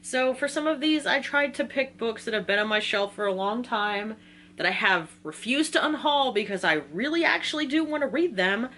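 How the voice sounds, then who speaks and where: An American woman from Virginia talking casually in an excitable, rambling, slangy way, like a book vlogger. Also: a little high, normal speed, slight background noise. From Virginia, United States. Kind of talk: casual chatty video talk.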